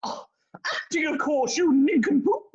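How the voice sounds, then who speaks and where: A woman's voice: high-pitched, animated, a little slow, darkish tone, dull audio. A woman playing a vain, melodramatic actress testifying in a comic courtroom play, haughty and self-dramatising. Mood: indignant.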